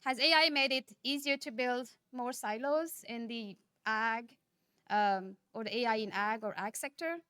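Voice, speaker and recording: A woman from Nepal, giving a conference keynote speech in a measured, earnest manner, often posing rhetorical questions. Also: on the high side, steady tone, sharp tone, clean tone, no echo, studio quality. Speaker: keynote speaker.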